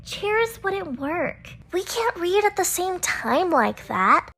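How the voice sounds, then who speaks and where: A woman's voice: very high-pitched, sing-song, takes it slowly, fairly bright tone, heavily acted. A woman voicing a touchy, bossy teenage schoolgirl manga fan in a visual novel dub, defensive and huffy. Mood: matter-of-fact.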